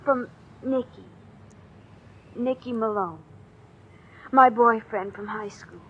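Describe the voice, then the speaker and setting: A woman's voice: a little high, fairly even intonation, slow, a bit bright, husky, full character voice. An actress playing a defiant, frightened sixteen-year-old girl in an old-time radio drama, with clipped, guarded answers. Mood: reluctant. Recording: muffled old radio recording, faint noise.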